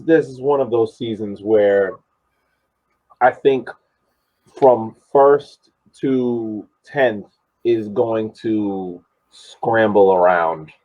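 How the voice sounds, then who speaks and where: On slightly muffled audio, a man with a fairly low, husky voice talks through a match. His casual analysis comes in halting phrases with repeated words. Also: warm tone. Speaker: sports podcast panelist.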